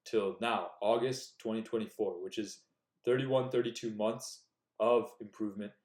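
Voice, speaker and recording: Young guy giving motivational advice straight to camera, kinda low voice, echoey room. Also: a little mellow. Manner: earnest, direct, coaching tone. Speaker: self-improvement YouTuber. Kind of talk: casual motivational talk to camera.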